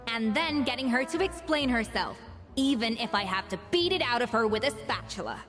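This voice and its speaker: A woman's voice: on the high side, lively intonation, brisk, in a large hall, music in the background, natural voice. A woman playing a proud, exacting young chef, blunt and self-assured, in video game story dialogue. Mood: resolute.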